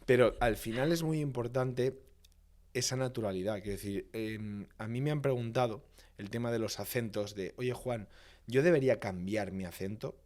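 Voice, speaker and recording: A man's voice: mid-range voice, moderate pace, darkish tone. A man from Valencia, Spain, a public speaking expert, explaining his points in a podcast interview with emphatic, didactic repetition. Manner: didactic, emphatic, lists points and repeats phrases for rhythm.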